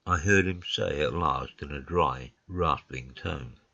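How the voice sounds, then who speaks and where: A man plays a loyal friend telling a mystery in the first person. The voice is extremely deep and husky, on a slightly dull recording with no room sound. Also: slow pace, sharp tone, dry recording, full character voice. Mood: earnest, hushed. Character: male narrator, loyal friend of the detective. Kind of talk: scripted first-person story narration.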